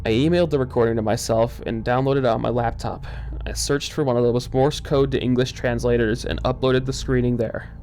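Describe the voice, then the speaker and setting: A man narrating a first-person horror story in a dramatic, tense, expressive way with pauses for suspense. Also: medium pitch, a little hiss or hum. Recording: eerie music under the voice. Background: background music.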